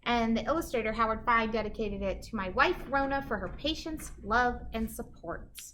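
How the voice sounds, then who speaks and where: A woman's voice: a little high, normal speed, noisy background. A woman reading a children's storybook aloud like a teacher, with dramatic emphasis and chatty asides to her listeners.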